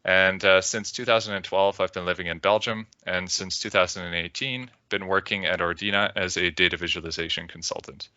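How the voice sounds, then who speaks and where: Low-voiced Canadian man presenting. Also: steady tone, sharp tone, dry recording, muffled recording. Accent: Canadian English. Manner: measured, deliberate, with filler "uh"s. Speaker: conference speaker. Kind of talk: presenting a technical talk.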